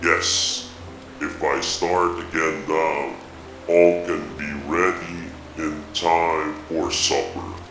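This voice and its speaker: A man's voice: very deep voice, flat delivery, slow, full character voice. A man voicing a blustering, thwarted demon villain from a fantasy game, theatrical, in an echoey sound with music behind. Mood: indignant, scheming. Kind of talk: reading game dialogue aloud on stream.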